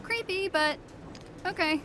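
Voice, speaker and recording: A woman's voice: very high-pitched, slow, heavy background noise. A woman voicing an earnest, nervous but plucky teenage girl superhero in a video game, with a casual, hesitant way of talking. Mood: wary, candid.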